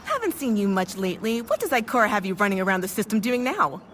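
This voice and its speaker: A woman's voice: mid-range voice, sing-song, fast, a bit bright, slight background noise. A woman playing a gossipy, sisterly companion spirit in an audio drama, with a knowing, teasing way of talking. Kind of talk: fan audio drama dialogue.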